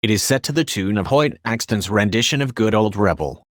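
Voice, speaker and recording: Low synthetic male narrator, dry sound. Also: animated, fairly quick, dry recording. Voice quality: synthetic text-to-speech voice. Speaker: video host and commentator. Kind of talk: scripted narration.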